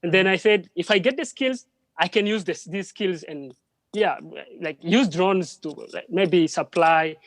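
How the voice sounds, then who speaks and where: A man from DR Congo, a French speaker, speaking as a panel guest in halting English full of restarts. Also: sits high, dry recording. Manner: halting, with frequent restarts and repeated words. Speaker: panel guest, drone project worker. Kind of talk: live panel conversation.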